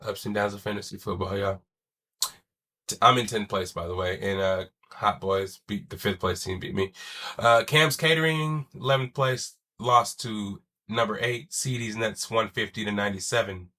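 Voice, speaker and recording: A young man with a lowish, bright voice talks sports alone on his podcast in a loose, slangy way, swearing now and then. A chest cold leaves him sounding wheezy and stuffy. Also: moderate pace. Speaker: podcast host. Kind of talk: casual solo sports talk.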